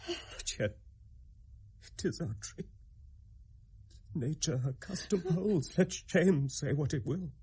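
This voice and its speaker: A man's voice: on the high side, expressive, slow, pauses often, dark tone, breathy edge, exaggerated voice. An actor with a British accent playing a hot-headed, vengeful young nobleman in a Shakespearean radio drama, in an intense, declamatory manner. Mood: stricken grief.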